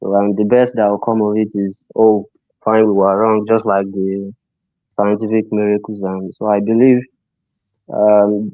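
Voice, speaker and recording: A low, flat-voiced man speaks through a dull, lo-fi call line. He is earnest and halting, pausing on fillers, and has a cough. The sound is dry and close. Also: no room sound. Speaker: caller. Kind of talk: call-in suggestion. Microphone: phone or call-in line.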